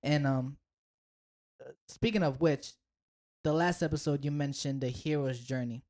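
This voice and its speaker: A woman's voice: deep voice, steady tone, normal speed, a little mellow. A woman co-hosting a podcast in casual chat, stopping now and then to hunt for a word and joking about it. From United States. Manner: searches for words, self-deprecating. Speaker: podcast co-host.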